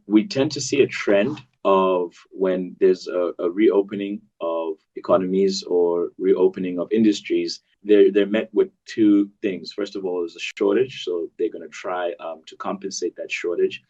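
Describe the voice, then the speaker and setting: Deep-voiced man from South Africa. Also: steady tone, measured pace, hardly any pauses, a little mellow. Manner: hesitant, lists points with fillers. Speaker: doctor, panel guest. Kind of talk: panel discussion. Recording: dull lo-fi sound.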